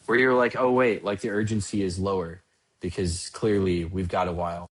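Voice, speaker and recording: A man in his late thirties hosting a casual podcast, animated and joking, asking his co-host lots of questions. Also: low voice, normal speed, slight background noise. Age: 30s.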